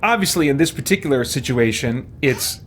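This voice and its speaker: A man's voice: mid-range voice, lively intonation, a little fast. Man musing casually over background noise. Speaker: gaming streamer playing a role-playing game. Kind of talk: casual let's-play commentary.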